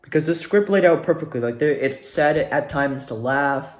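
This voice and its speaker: A man's voice: on the high side, moderate pace. Echoey young man telling a rambling story. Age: young adult. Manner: rambling, repeats words for emphasis. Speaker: student actor recounting past performances. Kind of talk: casual storytelling monologue. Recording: echoey, reverberant room.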